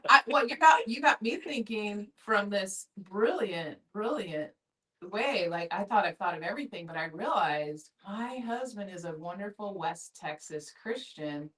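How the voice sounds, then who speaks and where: A woman's voice: mid-range voice, lively intonation, unhurried, a bit bright. A middle-aged woman chatting casually and candidly in a group discussion, in an effusive, informal way. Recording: distant room microphone.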